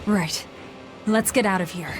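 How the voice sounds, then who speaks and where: A woman's voice: medium pitch, measured pace, over music, lightly acted. A woman voicing a cold, blunt young female avenger in a video game, curt and stern. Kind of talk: video game cutscene voice acting.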